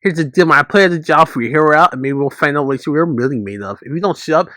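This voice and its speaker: A man's voice: fairly high pitch, fast, fairly bright tone, no room sound, studio quality. A man performing dialogue from a dramatic story.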